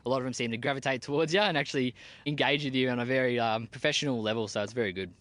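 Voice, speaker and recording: A young Australian man in the army answers questions in a mid-pitched, everyday voice. He talks plainly and casually, with slang and a lot of "uh" and "um" between thoughts. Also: fairly even intonation, very fast, a bit bright, dry recording. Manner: plain-spoken, casual slang with frequent fillers. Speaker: army team leader. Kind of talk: interview answers.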